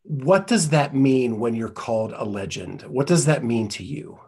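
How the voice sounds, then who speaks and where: A male podcast host with a slightly husky voice at a middle pitch. He asks his questions with easy, friendly enthusiasm, speaking into a studio mic in a home studio. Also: animated, unhurried, darkish tone. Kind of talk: casual interview. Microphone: studio mic in front.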